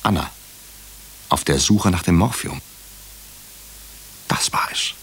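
Deep husky brooding doctor-narrator. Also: male voice, fairly bright tone, whispered, exaggerated voice. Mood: tense searching. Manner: measured, introspective, clipped short phrases. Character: male hospital doctor narrating a murder mystery, brooding, wry. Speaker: narrator and lead character. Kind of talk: German radio drama, first-person crime narration.

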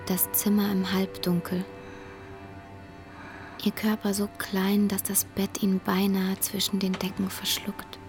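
A woman acting in a German radio drama as a weary, guilt-ridden carer, speaking with restraint and often hushed. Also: mid-range voice, monotone, a little slow, over music, lightly acted. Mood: grave, hushed. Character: weary, guilt-ridden woman caring for her dying aunt. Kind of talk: German radio drama acting, dialogue and intimate inner monologue.

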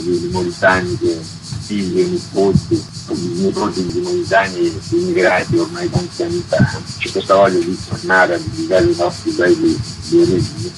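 A man's voice: sits low, vocal fry, rough recording. A man from Molise, talking informally as an event organiser in an interview. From Molise, Italy. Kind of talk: informal spoken interview explanation.

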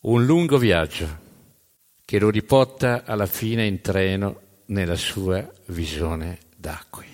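A man tells a scripted historical story as a stage narrator. His voice sits fairly low, and he phrases deliberately and dramatically, setting words apart with pauses. A long hall reverb surrounds every phrase.

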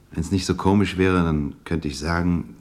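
An actor playing an effusive, ingratiating bachelor businessman in a German radio play, with a husky voice and a gushing, hesitant manner. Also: low-pitched, darkish tone. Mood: oily sympathy. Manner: gushing, hesitant, chuckling between phrases. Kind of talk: naturalistic German radio drama acting.

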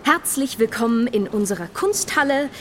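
An actress playing a composed, welcoming guide in a stage play, speaking in measured, clearly phrased theatrical narration. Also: female voice, on the high side, animated, background noise, natural voice. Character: female auction bidder and gallery guide, composed, welcoming.

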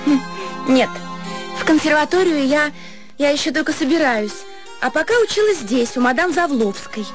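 A woman's voice: high voice, expressive, normal speed. An actress playing a girlish, wilful teenage daughter in a radio play. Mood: shy, hesitant. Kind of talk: radio play acting of a classic story. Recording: music behind the voice.

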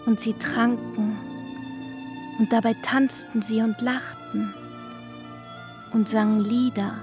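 A woman's voice: on the high side, level tone, slow pace. A woman reciting fairy-tale passages in a radio play, in a lilting storyteller's manner. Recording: music under the voice.

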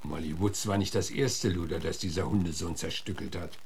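An actor playing a gruff, coarse-mouthed frontier marshal in a German radio drama, blunt and questioning. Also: male voice, sits low, fairly even intonation, fairly quick, darkish tone, slight background noise. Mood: grim.

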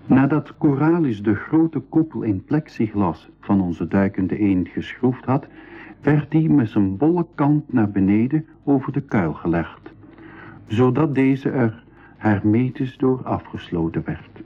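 An actor playing a thoughtful nephew who narrates his world journey in a measured way, with a Belgian Dutch accent, in a school radio play. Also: medium pitch, animated, slightly rough. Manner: measured, storytelling. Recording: phone-like, narrow 1980s radio recording.

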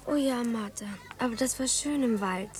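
A woman's voice: a little high, normal speed, lightly acted. A female performer playing an affectionate, wistful young girl in a radio play.

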